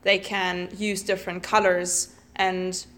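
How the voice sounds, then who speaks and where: A woman's voice: lowish voice, level tone, slow pace, fairly bright tone. Young woman hosting a teacher podcast, chatty, asks lots of questions. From Germany. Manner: friendly moderator asking questions. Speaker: podcast host, primary teacher. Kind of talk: casual discussion.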